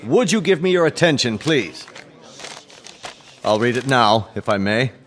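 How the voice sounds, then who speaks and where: Formal, measured statesman. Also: medium pitch, big pitch swings. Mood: grave, formal. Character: formal UN Secretary General making grave announcement, growing flustered. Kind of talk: radio drama acting, formal public address.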